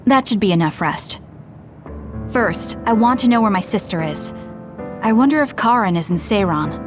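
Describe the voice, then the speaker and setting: A woman voicing a calm, blunt young soldier heroine in an anime-style game, speaking in a measured, matter-of-fact way. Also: mid-range voice, music in the background, natural voice. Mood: reflective. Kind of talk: anime game cutscene acting.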